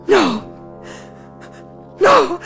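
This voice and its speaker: Frightened, high-voiced woman, wildly swooping. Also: wildly swooping pitch, very slow, gravelly, fairly bright tone, heavily acted. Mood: terrified. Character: frightened, desperate young woman in mortal danger. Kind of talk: 1950s radio drama acting. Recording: 1950s radio broadcast with music behind.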